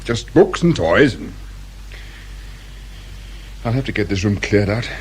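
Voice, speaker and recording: A man's voice: lowish voice, sing-song, a little slow. Brusque, anxious British father, faint noise. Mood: terse, grieving. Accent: British English. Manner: brusque, clipped, demanding. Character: curt, impatient, anxious father of a missing boy. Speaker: actor in a radio drama. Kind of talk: British radio play acting.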